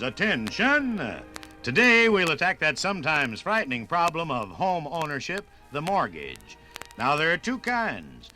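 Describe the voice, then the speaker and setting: Male 1950s radio narrator: bright, polished announcer delivery, animated intonation, scripted. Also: a little high.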